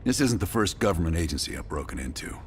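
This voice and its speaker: An actor playing a gruff, calculating, cool-headed veteran spy team leader in a video game, speaking in terse, dry, measured lines. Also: male voice, very animated. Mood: calm, confident. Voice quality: low gravelly voice. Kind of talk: cinematic game dialogue acting.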